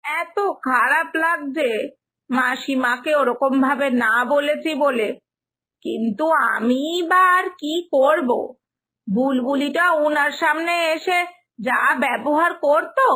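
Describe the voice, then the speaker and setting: Woman playing a high-voiced, fretful young mother. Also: slow pace, fairly bright tone. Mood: regretful. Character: fretful, polite young village mother, soft-hearted but evasive. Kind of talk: animated moral story dialogue.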